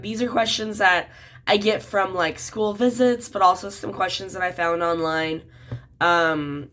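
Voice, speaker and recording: A woman's voice: lowish voice, fairly bright tone. Chatty young woman, a little sick. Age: 20s. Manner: chatty, many "um" and "like" fillers. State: sick, voice a bit off. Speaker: children's book author. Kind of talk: casual talk to camera.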